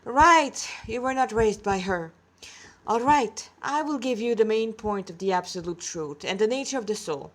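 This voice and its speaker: A woman voicing a cheerful, patient divine male sage in a story, speaking brightly, reverently and instructively. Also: fairly high pitch, animated, normal speed, rough recording.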